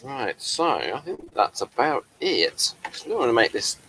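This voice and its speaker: A man's voice: mid-range voice, very animated, a little slow, fairly bright tone, a little hiss or hum. A man talking casually about his stage play, rambling with many fillers and restarts. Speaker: playwright showing his work. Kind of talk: casual chat on a video call.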